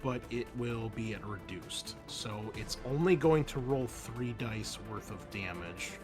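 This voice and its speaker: A man running a tabletop roleplaying game as game master, explaining rules in a halting way and thinking aloud. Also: lowish voice, expressive. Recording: loud background music. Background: music.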